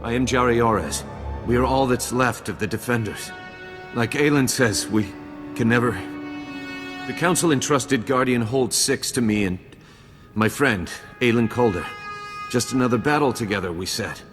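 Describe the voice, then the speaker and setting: An actor playing a weary, grieving Jedi guardian in a video game, earnest, solemn and formal. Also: male voice, mid-range voice, moderate pace, close to an everyday voice. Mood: weary grief. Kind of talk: video game cutscene acting. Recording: long hall reverb, faint game music behind.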